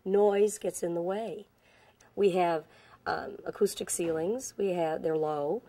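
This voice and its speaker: Low mellow woman, dry close sound. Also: steady tone, slow. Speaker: school staff member. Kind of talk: documentary interview.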